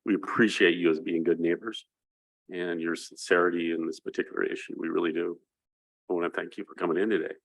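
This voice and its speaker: Low-voiced guy running a council meeting, loose, halting talk. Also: flat delivery, creaky, poor audio. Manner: informal, halting.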